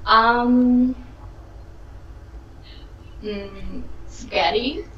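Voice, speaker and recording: A young woman chatting on a video call, soft-spoken and hesitant with frequent giggles. She talks slowly in a fairly high voice. The call sounds dull, roomy and slightly distant, with faint hiss behind her. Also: fairly even intonation. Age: young adult. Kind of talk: casual video-call chat.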